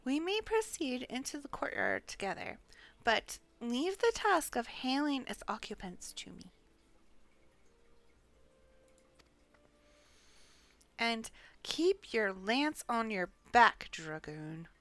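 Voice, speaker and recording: A woman's voice: on the high side, very animated, measured pace, vocal fry, a bit bright, full character voice. A woman voicing a grave, resolute noble lady warrior from a fantasy game, with formal, archaic and stately diction. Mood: solemn, commanding. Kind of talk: fantasy game dialogue read aloud.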